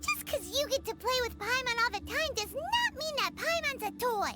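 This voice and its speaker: A woman voicing a tiny, excitable, easily indignant childlike fairy companion in a video game, with a squeaky voice. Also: moderate pace. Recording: game music behind.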